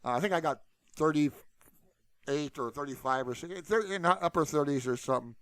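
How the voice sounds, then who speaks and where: Male channel host, slightly higher register, loose informal rambling, very dry close sound. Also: fairly quick, fairly bright tone, no echo. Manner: informal and unscripted, repeatedly saying "you know". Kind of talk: casual, rambling solo talk to viewers.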